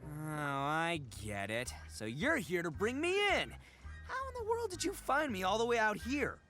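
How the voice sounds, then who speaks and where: An actor playing a loud, big-hearted oni gang boss who blusters, laughs a lot and stammers when caught out. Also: male voice, high voice, wildly swooping pitch, unhurried, exaggerated voice. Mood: cocky. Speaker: game character. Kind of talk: video game voice acting. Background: faint game music.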